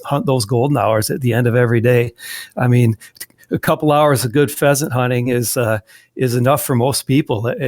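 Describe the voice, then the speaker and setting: Middle-aged man, casual guest, mid-pitched, restarts a lot, dry close mic. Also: a little mellow, dry recording. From southwestern Wisconsin. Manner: rambling, frequent restarts and fillers. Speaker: guest, magazine editor. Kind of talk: casual interview conversation.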